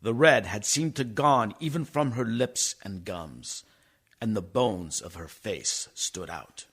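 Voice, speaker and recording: Man acting an earnest doctor, slow and expressive. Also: lowish voice, breathy edge, heavily acted. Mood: grave narration. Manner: formal, deliberate. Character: earnest young doctor narrating his fiancée's decline. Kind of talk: dramatic radio play narration.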